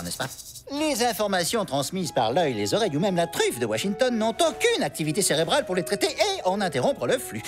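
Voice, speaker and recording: An actor voicing a pompous, pedantic scientific expert in a French cartoon, long-winded and fond of tag questions. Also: mid-range voice. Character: pompous scientific expert who examines animals.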